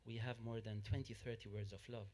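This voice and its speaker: A man's voice: on the low side, flat delivery, a little fast, darkish tone. Man with an earnest, explanatory tone. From Lebanon. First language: Arabic. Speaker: audience member. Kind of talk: audience comment at a panel.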